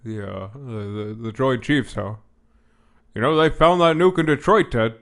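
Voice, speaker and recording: A man's voice: mid-range voice, unhurried, lightly acted. A man playing a weary, hesitant, folksy president who stammers in exasperation in a comic audio drama. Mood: weary, sceptical.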